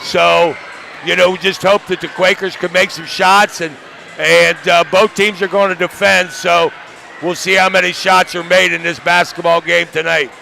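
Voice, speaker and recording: A man's voice: high voice, level tone, normal speed, gravelly, pushed and bright. An American man, a coach working as basketball analyst, giving pre-game analysis. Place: basketball gym. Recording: faint gym crowd and noise behind.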